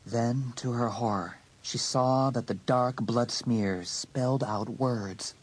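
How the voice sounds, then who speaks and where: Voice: mid-range voice, takes it slowly. A narrator reading a scripted, dramatic story for an audiobook.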